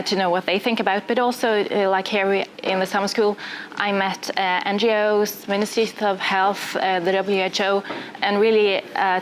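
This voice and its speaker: A woman's voice: medium pitch, slight background noise, poor audio. A woman, a medical doctor and researcher, speaking hesitantly in an interview with many fillers and slightly non-native phrasing.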